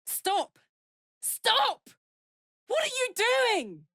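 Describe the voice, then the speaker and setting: A woman's voice: very high-pitched, huge pitch swings, extremely slow, bright, forceful tone, dry recording, full character voice. A woman voicing a brash, fiery horned warrior woman in a video game, talking bluntly and informally like a soldier, recorded dry. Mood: urgent, commanding. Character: brash, fiery female devil-horned warrior with an infernal engine heart. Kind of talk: video game character voice lines.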